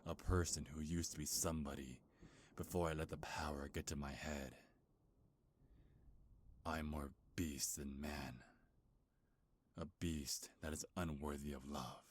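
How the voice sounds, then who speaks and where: A man playing an anguished, bitter, centuries-old cursed beast in a dramatic ASMR roleplay, with a gravelly, husky growl and a slow, brooding, halting delivery. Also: extremely low pitch, fairly even intonation. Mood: bitter, scornful.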